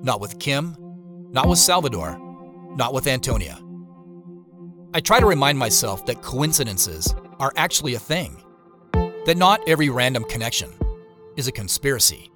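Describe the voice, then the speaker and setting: A man's voice: medium pitch, big pitch swings, moderate pace, pauses often, music in the background, close to an everyday voice. An actor playing a troubled, guarded man in an audio drama, reflective and earnest as he talks. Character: troubled, guarded man confiding in his sister and narrating. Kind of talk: audio drama acting and first-person narration.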